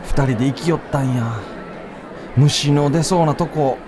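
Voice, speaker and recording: A man's voice: on the high side, moderate pace, crowd noise, noisy background, pitched below the performer's own voice, natural voice. A man playing an earnest schoolboy gossiping in an in a radio skit. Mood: gossipy, urgent. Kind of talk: amateur radio drama acting.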